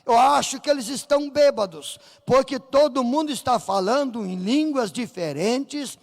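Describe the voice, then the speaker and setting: Fervent Brazilian pastor preaching, high gravelly voice. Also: male voice, moderate pace. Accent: Brazilian Portuguese. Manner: fervent preaching, rising to shouts, acting out voices in the story. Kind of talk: Pentecostal sermon. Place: church sanctuary. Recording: reverberant church hall.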